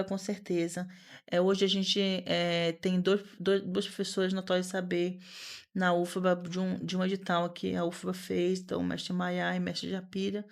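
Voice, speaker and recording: A woman in her thirties speaking as a podcast guest, earnest and animated, often repeating words and using fillers as she talks. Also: lowish voice. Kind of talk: conversational interview.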